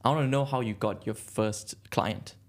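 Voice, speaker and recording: A man's voice: on the low side, moderate pace. A man hosting a podcast, asking eager questions in an upbeat, casual way. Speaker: podcast host.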